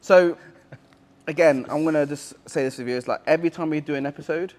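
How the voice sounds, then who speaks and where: A man's voice: on the high side, fast, a little mellow. A man hosting a podcast, chatting casually and teasing his guest in a brash, joking way. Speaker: podcast host.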